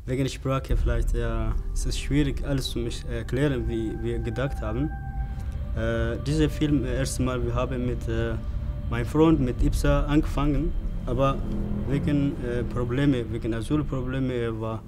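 A young man directing films, not a native speaker, answering interview questions in halting, searching speech full of fillers, seated on a dark studio set. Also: mid-range voice, steady tone, noisy background. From Africa. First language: not German. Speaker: film director and writer.

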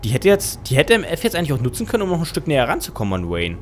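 A man's voice: on the high side, wildly swooping pitch, rapid-fire. Young german guy casting a game at his desk, rambly, music playing under him. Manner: chatty, self-correcting, thinks aloud. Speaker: game caster. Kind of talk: casual game commentary.

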